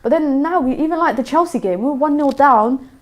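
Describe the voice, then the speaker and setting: A woman's voice: high-pitched, animated. A young woman with Somali roots, a panelist on a fan show, giving firm football opinions in casual chat. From Somali background. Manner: fast, assertive opinions.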